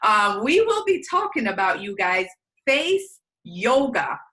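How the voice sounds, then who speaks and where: A woman's voice: mid-range voice, big pitch swings, slow, bright, forceful tone. A woman hosting a fitness podcast, enthusiastic and chatty, often repeating words. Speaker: podcast host. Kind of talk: casual podcast interview. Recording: faint music underneath.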